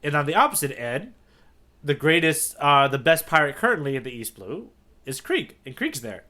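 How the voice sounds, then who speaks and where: A man's voice: a little high, big pitch swings, normal speed, pushed and bright. A man co-hosting a podcast, chatting casually and enthusiastically as he explains his thoughts and checks in with "right?". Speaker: podcast co-host. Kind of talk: casual chat discussing a manga.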